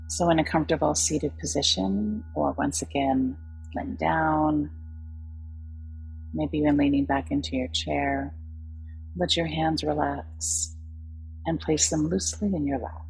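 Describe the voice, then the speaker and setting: A woman leading a guided meditation in a calm, soothing, unhurried way with long pauses. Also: low voice, gravelly, rough recording. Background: soft background music.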